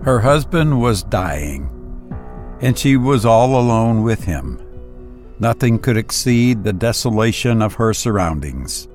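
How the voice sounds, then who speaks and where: A man speaking as a podcast host and story reader, with measured, deliberate phrasing, close on a voice-over mic. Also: lowish voice, sing-song, darkish tone, faint music behind. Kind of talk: podcast introduction and audiobook-style narration.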